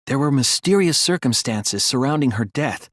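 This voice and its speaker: A man playing an earnest, analytical young male detective in an English video game dub, reasoning deliberately and articulately. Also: medium pitch, animated, a bit bright, no echo, studio quality. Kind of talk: English game dub, dramatic courtroom deduction.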